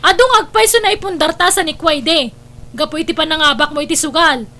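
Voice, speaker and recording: Very high voice, nagging wife, played by a woman, defiant, Ilocano accent, domestic drama. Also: a little fast, pushed and bright. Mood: reproachful. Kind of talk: Ilocano radio-style family drama acting.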